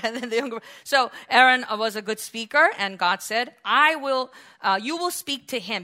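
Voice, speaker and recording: A woman preacher delivering a lively, conversational sermon, her voice bright and forceful and set a little high. She talks in quick asides and frequent restarts, as if chatting with her congregation. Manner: animated, conversational, laughs often, restarts.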